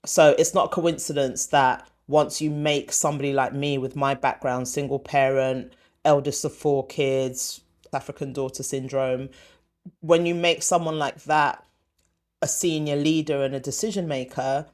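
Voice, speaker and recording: A woman's voice: very deep voice, moderate pace. Emphatic woman telling her story. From born in Ghana, raised in West London. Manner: emphatic and animated, acts out quotes. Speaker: guest, former TV comedy commissioner. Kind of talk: conversational interview answer.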